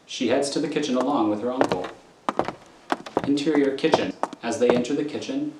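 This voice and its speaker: A man's voice: medium pitch, a little fast, very noisy. A man reading scripted stage directions as the narrator of a radio drama. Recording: echoey room, prominent music behind.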